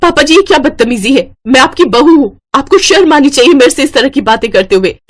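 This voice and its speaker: A woman's voice: high voice, animated, brisk, voice pushed up. A woman voicing a young, dutiful and firm daughter-in-law in a cartoon story, earnest and emphatic. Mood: indignant. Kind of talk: Hindi moral-story cartoon acting.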